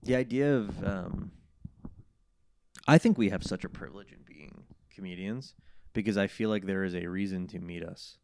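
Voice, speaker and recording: A man, a comedian, riffing in casual podcast banter as a guest, animated and prone to mock voices. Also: low-pitched, normal speed, creaky, a little hiss or hum.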